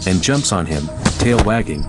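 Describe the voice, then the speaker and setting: A man narrates an audio drama over clearly audible music. His voice is on the low side and animated, with a dramatic scripted delivery. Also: moderate pace.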